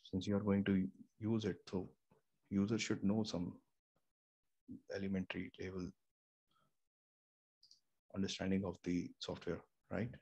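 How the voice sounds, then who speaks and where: A man's voice: low-pitched, little pitch movement, unhurried, long pauses, mellow, breathy edge, lo-fi sound. A young man with an Indian accent, explaining home recording step by step, with many fillers and restarts. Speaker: home studio tutorial host. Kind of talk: casual screen-share tutorial. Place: small home room with air conditioner and fridge nearby. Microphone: studio microphone through an audio interface. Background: faint room hum.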